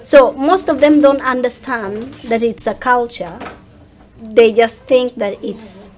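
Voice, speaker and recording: A woman's voice: a little high, slow, darkish tone. A woman chatting informally as a guest, often repeating her words as she goes. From Uganda. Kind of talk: casual chat.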